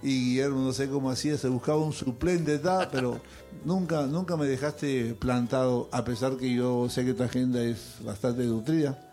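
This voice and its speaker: A man's voice: medium pitch, steady tone, moderate pace, mellow, faint music behind. Older man telling stories. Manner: anecdotal storyteller, acts out quoted voices. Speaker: host. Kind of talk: casual conversation among musicians.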